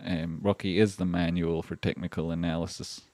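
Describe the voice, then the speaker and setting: A Scottish man with a fairly low voice chats casually on a livestream, wandering from thought to thought with fillers, restarts and plenty of swearing. The sound is close and dry, with no room echo. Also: flat delivery, normal speed, darkish tone. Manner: rambling and chatty, many fillers and restarts, swears freely. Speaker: livestream host. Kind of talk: casual livestream chat reading donations.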